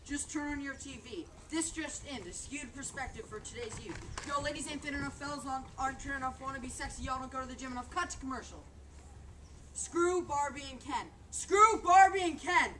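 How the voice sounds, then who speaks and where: A woman's voice: sits high, a little fast. A woman performing dramatic spoken word in a rhythmic, emphatic way, as in readers theater. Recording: distant mic with clear background noise.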